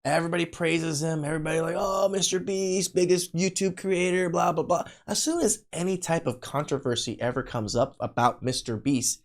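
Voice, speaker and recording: A man's voice: fairly high pitch, fairly even intonation, a little fast, hardly any pauses. Casual man giving opinionated reactions. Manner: informal, opinionated, conversational. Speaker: podcast host reacting to a video.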